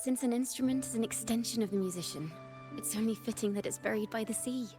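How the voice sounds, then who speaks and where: A woman's voice: on the high side, quick pace, close to an everyday voice. A woman voicing a cheerful, poetic young female bard in a video game, with a theatrical, whimsical, lyrical delivery. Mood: wistful, gentle. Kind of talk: scripted video game voice acting. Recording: game music behind the voice. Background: background music.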